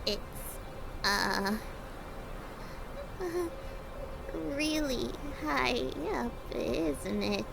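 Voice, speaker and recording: A woman's voice: fairly high pitch, drawn-out words, creaky, long pauses, noisy background, exaggerated voice. A woman voicing a timid, anxious, nerdy female lizard monster in an audio drama, stammering and hesitant. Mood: frightened. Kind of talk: audio drama character acting.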